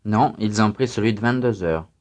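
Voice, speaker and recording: A man reading scripted student answers and exercise announcements in a language drill, careful and even. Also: lowish voice, normal speed.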